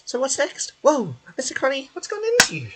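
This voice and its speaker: A man voicing a small, excitable, indignant floating companion from a game, whiny and exclaiming. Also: extremely high pitch, fairly quick, pitched above the performer's own voice, full character voice. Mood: startled. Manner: exclaiming, refers to self in third person.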